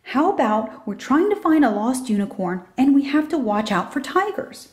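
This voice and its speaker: Woman voicing an excitable, high-pitched little girl. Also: moderate pace. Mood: excited, playful. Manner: exclaiming, eager. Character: excitable, confident little girl on a camping adventure.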